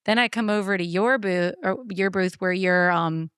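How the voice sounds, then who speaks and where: A woman's voice: mid-range voice, normal speed, fairly bright tone, clear, resonant tone, dry recording, clean studio sound. A woman hosting a podcast, chatting casually and telling stories in a chatty way, often quoting others. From born in Beaumont, Texas, lives in Charleston. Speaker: podcast host. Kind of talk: casual chat, storytelling.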